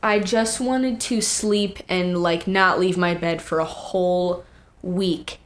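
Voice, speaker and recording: A teenage young woman, a guest singer, telling stories in casual, animated chat full of quoted asides. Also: lowish voice, slow.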